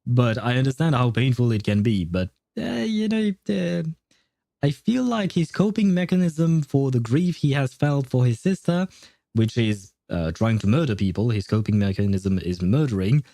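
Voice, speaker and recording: A man's voice: medium pitch, lively intonation, moderate pace, creaky, dark tone, dry recording. A man doing casual livestream game commentary, jokey and rambling as he thinks aloud into a close mic.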